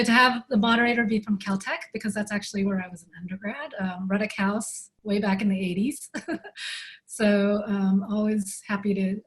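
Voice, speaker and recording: A woman's voice: mid-range voice, low-quality recording. Panelist, woman, 50s, friendly conversational self-intro. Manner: chatty, friendly, laughs lightly. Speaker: venture investor panelist.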